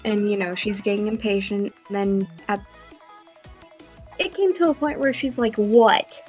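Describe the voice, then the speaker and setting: A woman's voice: medium pitch, measured pace, darkish tone, dull audio. A woman chatting casually as a stream host, telling stories in an informal, rambling way with some swearing. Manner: filler-heavy, informal storytelling, swears. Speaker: livestream host. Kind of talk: casual chat. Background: music.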